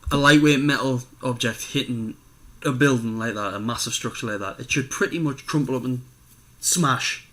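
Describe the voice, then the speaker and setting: A man with a voice of ordinary pitch co-hosts a podcast. His talk is informal and conversational, like a relaxed chat between friends. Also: normal speed, few pauses, rough recording. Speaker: podcast co-host. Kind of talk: casual chat.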